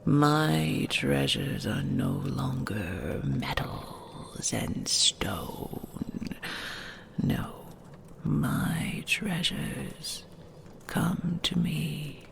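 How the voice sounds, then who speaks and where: A woman's voice: sits low, very slow, full character voice. A woman playing an ancient, possessive and tender she-dragon in an intimate audio roleplay, whispering soothingly with formal, old-fashioned phrasing. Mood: possessive, hypnotic. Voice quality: breathy, creaky whisper.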